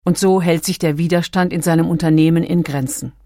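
Low-voiced woman narrating a documentary. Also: steady tone, brisk, warm tone, dry recording, clean studio sound.

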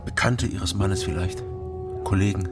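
An actor playing a dry, matter-of-fact male East Berlin private detective in a radio crime drama, with a gravelly voice. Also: low-pitched, fairly even intonation, measured pace, loud music behind the voice. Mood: dry, wary. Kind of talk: radio crime drama, first-person narration and dialogue.

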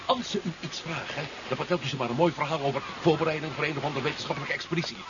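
An actor playing a bold, quick-witted adventurer with a brisk, commanding manner in a 1970s radio drama. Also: male voice, a little high, close to an everyday voice. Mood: urgent, commanding. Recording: muffled, noisy 1970s radio recording.

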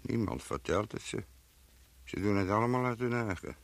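An actor playing an earthy gravedigger in a radio play, muttering and musing to himself about the dead. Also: male voice, lowish voice, expressive, normal speed. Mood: wondering, brooding.

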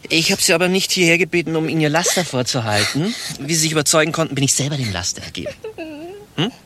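An actor playing a pompous, condescending administration chief in a satirical radio play, biting and exasperated. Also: male voice, on the high side, animated, quick pace, background noise, close to an everyday voice. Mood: pompous, mocking.

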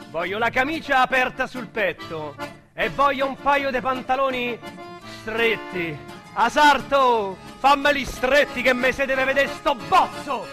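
An actor playing an excitable, streetwise Roman small-time robber, telling his story as a theatrical radio drama monologue in slangy dialect. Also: extremely high pitch, heavily acted. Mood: swaggering. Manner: slangy, vulgar, theatrical storytelling with shouts and hushed asides. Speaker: actor narrator. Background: music under the voice.

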